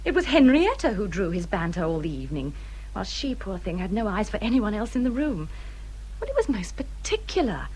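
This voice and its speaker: An actress playing a peevish, self-pitying young married gentlewoman in a period drama, with an English accent and a fretful, self-important manner. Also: mid-range voice, big pitch swings, fairly quick, husky, low-quality recording. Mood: fussy, insistent. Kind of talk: British period radio drama acting.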